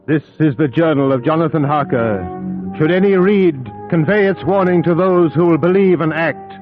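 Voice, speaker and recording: An actor playing an earnest, proper young English solicitor in an old-time radio drama, formal and dramatic. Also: male voice, fairly high pitch, moderate pace, lightly acted. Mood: grave, troubled. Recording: dull vintage radio recording over loud music.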